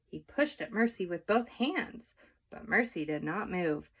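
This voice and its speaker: A woman storyteller reading a children's book aloud in an expressive, measured, emphatic narrating style. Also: on the low side, creaky.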